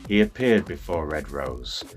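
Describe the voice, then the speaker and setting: A very deep male voice tells a dramatic story in storybook style. He is theatrical and deliberate in his phrasing. Music plays behind him. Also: animated. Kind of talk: dramatic scripted storybook narration.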